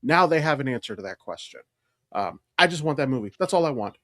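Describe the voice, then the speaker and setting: A man's voice: mid-range voice, lively intonation, quick pace, pushed and bright, no echo, clean studio sound. A man co-hosting a podcast, talking casually and emphatically like an opinionated, enthusiastic fan explaining things. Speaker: podcast co-host.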